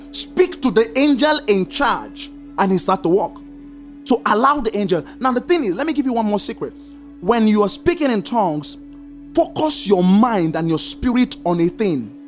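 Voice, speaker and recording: Preacher, animated swooping intonation, slow emphatic repetition, faint music behind. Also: mid-range voice. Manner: lively sing-song emphasis, slow drawn-out repeated commands. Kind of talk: sermon teaching.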